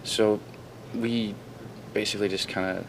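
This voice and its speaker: A Canadian man, a guitarist who founded his band, answering interview questions in a confident, rambling way and clearing his throat now and then. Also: lowish voice, little pitch movement, unhurried. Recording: raw camcorder audio with noticeable noise.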